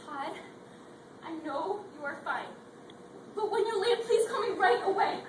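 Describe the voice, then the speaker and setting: A woman's voice: very high voice, animated, a little slow. A young woman playing a grieving wife and mother who fears for her husband, in a student readers theatre. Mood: pleading. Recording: echoey hall, distant mic, loud noise.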